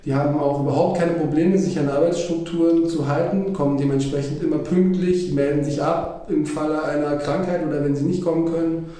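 A man who runs a workshop speaks in a thoughtful, slightly halting way. His voice is very soft and mellow and a bit high for a man. The room around him is very echoey. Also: fairly even intonation. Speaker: workshop manager. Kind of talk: interview statement.

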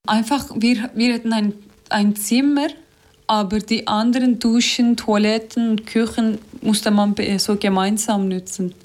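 Interviewee: non-native woman, slightly high voice, slow and halting, frequent fillers. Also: steady tone, a little mellow. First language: not German. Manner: hesitant, many fillers and restarts.

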